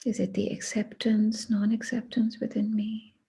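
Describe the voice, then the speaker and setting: A woman guiding a meditation in a hushed, breathy voice, speaking deliberately in short phrases with long pauses. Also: a little high, flat delivery. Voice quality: breathy, husky. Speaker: meditation guide. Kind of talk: guided meditation.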